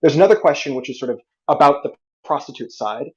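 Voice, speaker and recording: A man's voice: on the high side, expressive, fast, darkish tone. Dull-sounding recording, methodical male debater. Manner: articulate, methodical, frames points as questions. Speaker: debate guest. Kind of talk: structured debate rebuttal.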